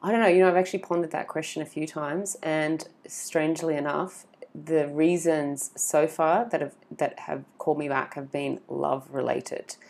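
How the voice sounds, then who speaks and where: Australian accent, woman, low pitch, gravelly, casual rambling interview talk. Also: fairly even intonation, moderate pace, a little mellow. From Sydney, Australia. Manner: chatty, rambling with many fillers and restarts. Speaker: guest.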